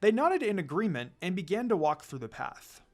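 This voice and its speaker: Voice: mid-range voice, very animated, normal speed. A narrator reading a fan fiction story aloud in a dramatic storytelling style.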